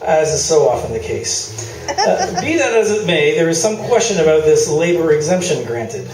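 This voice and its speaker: A needling, sarcastic sports commentator in a staged radio comedy, played by a man. The voice sits a bit high and is wordy and skeptical, recorded from a distance with clear music behind it. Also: big pitch swings, normal speed, echoey room. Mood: sardonic. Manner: dry sarcasm, mock-formal wordiness. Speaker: actor in a live radio play. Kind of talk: staged talk-show debate, comic radio play. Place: live theatre, mic at a distance.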